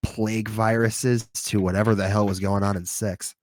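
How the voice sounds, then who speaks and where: A man's voice: fairly low pitch, flat delivery, normal speed, darkish tone. A man co-hosting a podcast, giving emphatic opinions on films and games in casual conversation. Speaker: podcast co-host. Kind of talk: casual film and game discussion.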